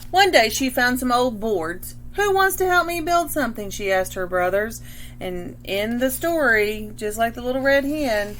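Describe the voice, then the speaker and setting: A woman teacher reading a picture book aloud to children and explaining it as she goes, with pauses and ums. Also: medium pitch, slight background noise.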